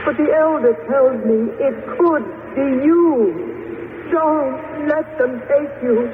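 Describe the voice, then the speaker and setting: Heard through a reverberant, narrow old radio recording, a loyal young alien woman. Her very high voice is urgent, each phrase drawn out slowly. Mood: urgent, pleading. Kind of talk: radio drama acting. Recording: tinny, noisy, echoey old radio broadcast.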